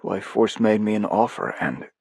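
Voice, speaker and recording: A man's voice: on the low side, expressive, normal speed, creaky, a little mellow, slightly rough, dry recording, heavily acted. A man voicing an ashamed young male soldier who speaks in a subdued, defeated way.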